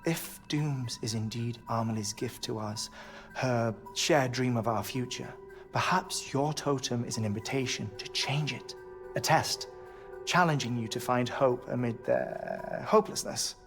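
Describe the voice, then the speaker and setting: Man acting a solemn, precise male scientist, slight creak. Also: mid-range voice, lightly acted. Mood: earnest, reflective. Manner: formal, precise, deliberate wording. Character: calm, scholarly male scientist, solemn and articulate. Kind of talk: cinematic video game dialogue.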